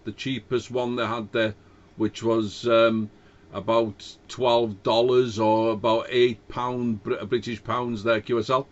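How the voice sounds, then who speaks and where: A man's voice: medium pitch, flat delivery, slow pace. A man chatting as an amateur radio operator in a hesitant, courteous way, speaking into a cheap dynamic microphone over a radio link. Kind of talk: casual ham radio contact. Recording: dull lo-fi radio link audio.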